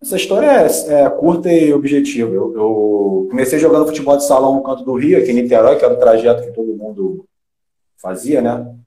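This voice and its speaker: Carioca man, mellow voice, halting speech, echoey room, video call. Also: mid-range voice, animated. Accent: Carioca Brazilian Portuguese. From Rio de Janeiro, Brazil. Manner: halting, many restarts and fillers. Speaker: interview guest, former footballer. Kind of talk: casual interview chat.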